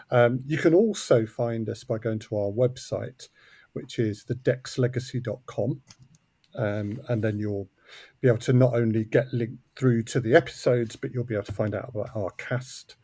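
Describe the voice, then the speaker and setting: A man's voice: medium pitch, warm tone, breathy edge, no echo. Man chatting, very dry close sound. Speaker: guest, audio drama co-creator. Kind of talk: casual interview chat.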